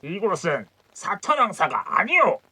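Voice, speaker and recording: A man voicing an imperious, demanding imperial envoy in a storybook tale, with a rough voice and commanding archaic speech. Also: high voice, sing-song, a little slow, sharp tone, voice pushed up, heavily acted. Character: imperious Tang envoy, male, demanding and suspicious.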